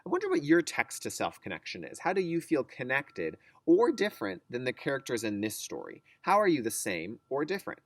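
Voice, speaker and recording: A man speaks as a kindergarten teacher giving a read-aloud lesson. His pitch is lively and moves around a lot, and the sound is dry and close. He explains in simple, instructive language and puts questions to young listeners. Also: a little fast, few pauses, no room sound.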